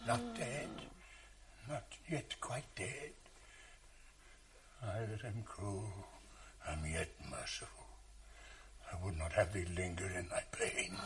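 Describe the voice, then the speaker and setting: A brooding, jealous general: low, husky, slow, measured verse, whispers that break into rage. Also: male voice, very noisy, full character voice. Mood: hushed, grim. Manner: brooding, swings from hushed whispers to outbursts. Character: tormented jealous general, grave and commanding. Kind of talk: classic stage verse radio drama.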